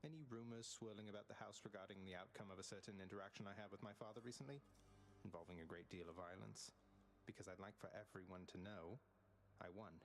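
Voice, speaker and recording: An actor playing a proud, dry young prince of the underworld in a video game, with wry, formal wording. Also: male voice, on the low side, steady tone, fast talker, creaky. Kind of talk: video game character acting.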